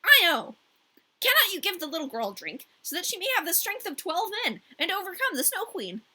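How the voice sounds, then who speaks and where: A woman's voice: very high-pitched, big pitch swings, fairly quick, bright, forceful tone, voice pushed up, full character voice. A woman voicing an earnest, pleading reindeer in a storybook tale.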